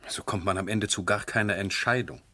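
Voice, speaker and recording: An actor playing an eager, persuasive aristocratic husband in a radio drama, speaking in an earnest, coaxing, gentlemanly way. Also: male voice, on the low side, brisk, lightly acted. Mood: persistent, persuasive. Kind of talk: 1970s German radio drama acting.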